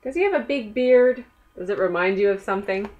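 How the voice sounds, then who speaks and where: A woman reading a children's book aloud as a storyteller, gently inviting young listeners along with questions. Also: medium pitch, expressive, a little fast, phone-quality sound.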